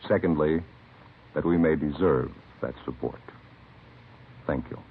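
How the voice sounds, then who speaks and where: A husky American man announcing in a formal, scripted 1950s radio style, solemn and measured. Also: extremely low pitch, slight background noise. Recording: narrow-band vintage radio broadcast.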